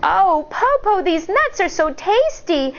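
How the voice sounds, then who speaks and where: Woman voicing a high, sing-song clever little girl. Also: very animated, slow pace, highs cut off, full character voice. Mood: eager, sly. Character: clever young eldest sister, sweetly coaxing, pretending.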